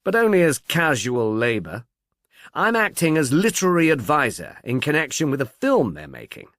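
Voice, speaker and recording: A man voicing an irritable, witty professor of English in an audiobook, brisk and dryly emphatic. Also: on the high side, sing-song, a bit bright, no echo, studio quality, voice pushed up. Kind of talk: audiobook character dialogue.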